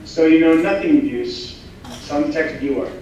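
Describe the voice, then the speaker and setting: A man playing a blunt, deadpan, obliging henchman in a staged comedy reading. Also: a little high, moderate pace, highs cut off, lightly acted. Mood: scornful. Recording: very echoey room, distant mic, faint noise.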